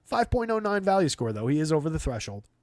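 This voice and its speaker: A man's voice: on the high side, very animated, quick pace, a little mellow, dry recording. A man hosting a live fantasy basketball stream, talking through analysis off the cuff in a conversational, dry way. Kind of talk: live unscripted sports analysis with chat replies.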